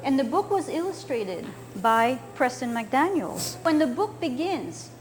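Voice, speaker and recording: A woman in her sixties from France, a children's author gently explaining a slideshow of pictures to young listeners. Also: high voice, sing-song, unhurried, soft background music.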